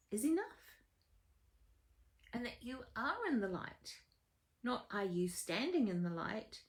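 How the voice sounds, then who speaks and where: Woman voicing a gently insistent celestial woman guide. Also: fairly high pitch. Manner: insistent, repeating, encouraging.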